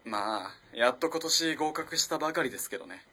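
A man's voice: medium pitch, brisk, breathy edge. A man playing a young student in a radio drama, flustered and evasive, politely stammering and hesitant. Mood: awkward, hesitant. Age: young adult. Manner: stammering, hesitant, excitable when cornered. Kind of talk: Japanese radio drama acting.

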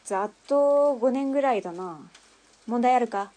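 High-voiced, brash gang boss played by a woman. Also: normal speed, mellow, lightly acted. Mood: cocky, businesslike. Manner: rough, masculine speech, cocky. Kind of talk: Japanese audio drama acting.

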